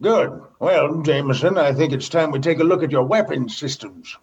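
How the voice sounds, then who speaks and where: Radio comedy commodore, male actor: demanding, pointed, highish gravelly voice, dull streamed sound. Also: moderate pace, a little mellow. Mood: challenging, pointed. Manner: pointed, condescending questioning. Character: skeptical, demanding commodore inspecting a space station. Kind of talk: comedy sci-fi radio acting.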